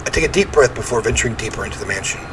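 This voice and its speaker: A man reading a first-person horror game story aloud in an expressive, theatrical way. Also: mid-range voice, racing. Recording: clear ambient noise behind voice.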